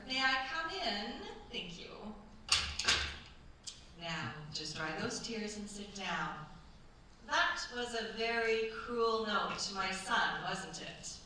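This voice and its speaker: A woman acting a shrewd, coaxing older French chateau mistress in a staged radio play, with a theatrical, commanding manner. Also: mid-range voice. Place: theatre stage at a cultural centre. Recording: very echoey stage, distant mic, faint noise.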